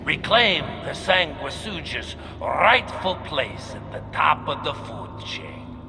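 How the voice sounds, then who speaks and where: In a cavernous hall, a scheming vampire lord orates with grand, swooping inflection. His voice sits fairly high and has a gravelly creak, as befits a game villain who means to persuade. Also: sing-song, over music, heavily acted. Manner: theatrical, deliberate, oratorical. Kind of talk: video game cutscene acting. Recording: long cavernous reverb, some noise.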